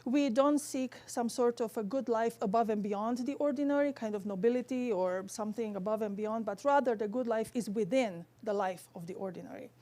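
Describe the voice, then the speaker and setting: High-voiced Romanian woman giving a lecture. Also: warm tone. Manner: careful, halting, scholarly phrasing. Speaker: theology lecturer. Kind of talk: academic lecture.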